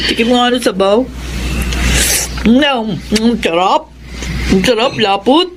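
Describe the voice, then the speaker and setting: A woman's voice: a little high, slow pace. Gushing Filipino woman, noisy background. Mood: delighted. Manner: gushing, exclamatory. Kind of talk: Filipino radio drama acting. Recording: noisy ambience behind radio drama dialogue.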